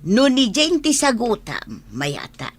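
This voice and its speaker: A woman's voice: fairly high pitch, wildly swooping pitch, slow pace, dry recording, exaggerated voice. An actress playing an exasperated, protective elderly mother in a radio drama, with an Ilocano accent. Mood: nagging, exasperated.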